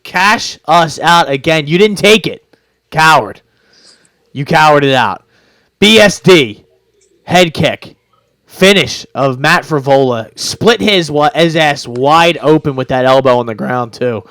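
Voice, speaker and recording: A young man co-hosts a casual sports podcast. His voice is smooth and clear, recorded close on a dry mic with no room sound. He talks bluntly, swears freely and teases his partner. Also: on the high side, expressive, unhurried, a bit bright, no echo. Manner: blunt, profane, teasing. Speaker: podcast co-host.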